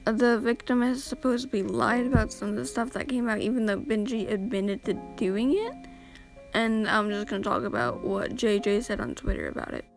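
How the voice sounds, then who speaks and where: Tired, slightly raspy young woman's voiceover. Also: on the high side, fairly even intonation, moderate pace, soft background music. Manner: blunt, exasperated, casual with fillers. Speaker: commentary YouTuber. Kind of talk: drama commentary voiceover. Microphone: home voiceover mic.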